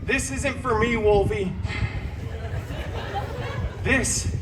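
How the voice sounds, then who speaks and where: A man's voice: sits high, drawn-out words, noisy background. A man playing a flamboyant, teasing superhero jester who tells a storybook tale in hammy live-show style. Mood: whiny, teasing. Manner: campy, teasing, mock-dramatic. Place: outdoor theme park show. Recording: distant camera audio, loud music and crowd. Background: loud music and crowd.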